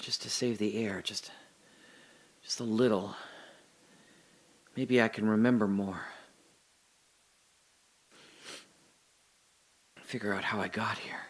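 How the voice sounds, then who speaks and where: Actor voicing a scared man trapped underground, halting self-talk, bright husky tone, slow pace. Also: lowish voice, exaggerated voice. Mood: anxious. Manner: halting, muttering to himself, drifting into memories. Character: adult man trapped in a dark airless space, confused and scared. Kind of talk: audio drama monologue.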